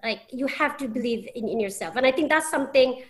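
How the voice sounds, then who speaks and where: A woman's voice: sits high, fast, a bit bright. A young English woman, a podcast guest, talking casually with many restarts and fillers. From England.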